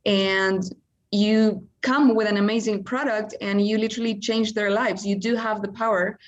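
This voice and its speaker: A woman's voice: mid-range voice, steady tone, moderate pace, muffled recording. A woman contestant on a video call, earnestly and emphatically explaining her passion. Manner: earnest, emphatic, says literally often. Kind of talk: enthusiastic pitch.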